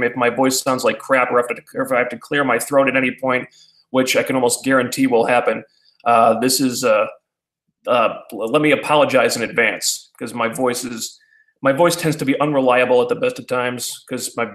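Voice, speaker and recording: A man, chatting casually with his viewers in a halting way, full of fillers and restarts. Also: mid-range voice, fairly bright tone, reverberant. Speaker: YouTuber answering viewer questions. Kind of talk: casual rambling chat.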